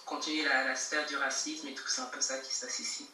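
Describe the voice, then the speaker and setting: A woman's voice: low-pitched, animated, quick pace, pushed and bright. A young woman from Geneva, answering interview questions in a casual, emphatic way over a video call. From Geneva, Switzerland. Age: young adult. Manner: emphatic, repeats herself, laughs between points. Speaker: guest rapper. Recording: echoey distant call audio with faint noise.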